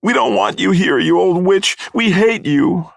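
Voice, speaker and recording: A man narrating acts out a young boy in a dramatic story. The voice is high and shaky, recorded dry and close. The boy is frightened and openly hostile. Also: measured pace, gravelly, dry recording, exaggerated voice. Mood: trembling, hostile. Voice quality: high, trembling.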